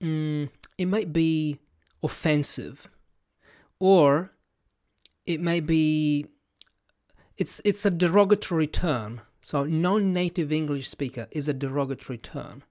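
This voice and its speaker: A man's voice: on the high side, slow pace, a little mellow, dry recording, clean studio sound. A man with an Italian accent, a podcast host thinking aloud to his audience, hesitant, with many fillers and restarts. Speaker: podcast host and language educator. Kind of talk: casual reflective monologue to camera.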